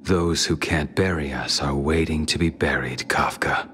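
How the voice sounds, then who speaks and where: A man's voice: extremely low pitch, steady tone, moderate pace, noisy background. A man voicing a grim, brooding swordsman in an anime game, cold and terse, half-whispering his words. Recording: music behind the voice.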